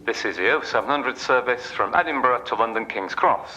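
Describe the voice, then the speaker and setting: Man acting a bright-voiced train guard on tannoy. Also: medium pitch, expressive, fairly quick, low-quality recording. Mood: official. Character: train guard making an onboard announcement. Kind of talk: formal public announcement in a radio play.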